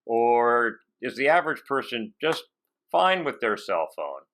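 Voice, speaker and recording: A man's voice: mid-range voice, sing-song, measured pace, fairly bright tone. A man hosting a casual interview, chatting and asking questions. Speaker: interviewer, show host.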